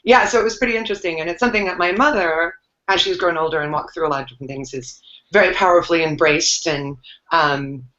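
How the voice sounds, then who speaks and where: A woman's voice: lowish voice, quick pace, fairly bright tone. A woman on a panel, giving a rambling, reflective introduction full of fillers. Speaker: panel guest. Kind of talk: casual self-introduction on a video call. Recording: echoey room, dull lo-fi sound.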